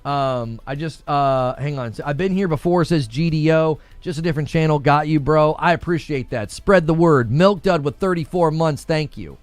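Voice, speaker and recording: A man with a slightly high voice talks casually as a livestream host, slangy and conversational. He moves between chatting and reading out viewer messages and shout-outs, repeating points for emphasis. The sound is close and dry, with light music behind him. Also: moderate pace, hardly any pauses, no room sound.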